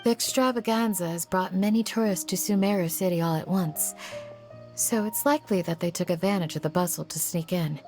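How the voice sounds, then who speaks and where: A woman's voice: on the low side, a little fast, a little mellow, natural voice. A woman playing a composed, courteous female guardian in an anime game, speaking formally and politely. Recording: faint music behind the voice.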